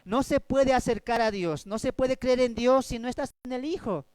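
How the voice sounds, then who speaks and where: A man from Bolivia, preaching a Bible study sermon as a pastor, swinging between loud and soft delivery. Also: very high voice, moderate pace, clear, resonant tone, no room sound.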